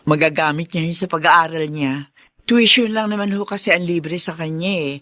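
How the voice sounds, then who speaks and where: A woman's voice: fairly low pitch, moderate pace, fairly bright tone, dry recording, close to an everyday voice. A woman playing a caring, worried middle-aged Filipino mother in a radio drama. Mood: friendly. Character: caring, worried mother of grown children, poor.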